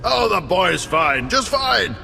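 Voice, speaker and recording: Excitable spy analyst, bright creaky voice, theatrical, effusive, video game. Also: male voice, sits high, slow pace, full character voice. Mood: gleeful, gushing. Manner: effusive, repeats himself, theatrical. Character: excitable, gushing male intelligence analyst, eager to please. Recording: long reverb, music behind.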